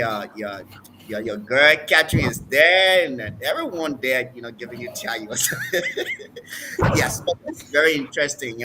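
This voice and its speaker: Bright-voiced Liberian man hosting. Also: medium pitch, slight background noise, poor audio. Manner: hesitant, many fillers and restarts. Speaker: host, preacher. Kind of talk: online interview.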